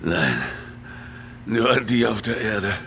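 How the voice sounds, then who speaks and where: An actor playing an angry, bitter killer on the run in a radio crime drama, berating and volatile. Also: male voice, lowish voice, sing-song, takes it slowly, fairly bright tone, heavily acted. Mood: grim, subdued. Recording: noisy ambience behind.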